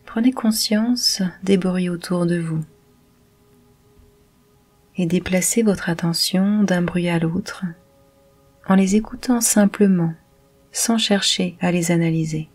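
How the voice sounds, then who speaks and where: A woman guiding a yoga nidra meditation in a calm, measured, soothing manner. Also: on the low side. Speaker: meditation guide.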